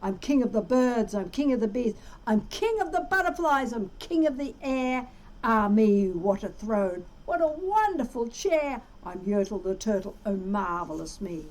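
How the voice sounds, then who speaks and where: Storybook turtle king, played by a woman: pompous, bullying, commanding, high-pitched with big swoops. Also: big pitch swings, measured pace, darkish tone, low-quality recording. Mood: boastful. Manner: grandiose, commanding, bellowing. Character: pompous, power-hungry male turtle king, boastful and bullying. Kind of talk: children's storybook read-aloud.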